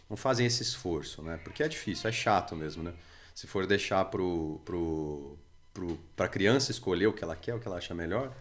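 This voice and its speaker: A man in his forties from Brazil, chatting on a church podcast in an unhurried, hesitant way with many fillers and restarts. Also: fairly low pitch. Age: 40s. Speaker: church member guest. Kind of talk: casual podcast conversation.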